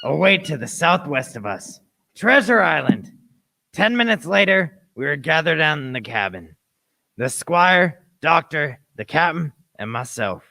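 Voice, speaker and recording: A man's voice: fairly high pitch, sing-song, measured pace, creaky, pushed and bright, heavily acted, rough recording. A man playing a cabin boy who narrates a sea adventure in a radio play, in scripted storytelling style.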